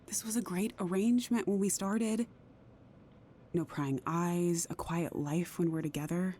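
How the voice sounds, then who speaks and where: An actress playing a troubled, guarded career woman in an intimate audio drama. Her voice is lowish and soft-edged, measured and confiding. A faint rumble sits behind her. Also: fairly even intonation, close to an everyday voice. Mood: wistful. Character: careful, troubled career woman, secretly a mother.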